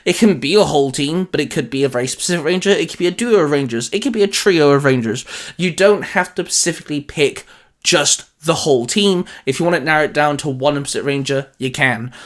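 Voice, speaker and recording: Higher-pitched man hosting a fan show. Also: expressive, quick pace. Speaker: host. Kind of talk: scripted intro, then casual fan discussion.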